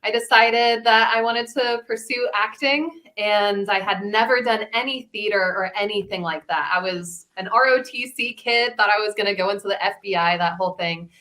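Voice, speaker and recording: A woman voice actor telling personal stories in a casual, animated, chatty way. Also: mid-range voice, moderate pace, hardly any pauses. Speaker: voice actor, panel guest. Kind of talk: casual chat, personal storytelling.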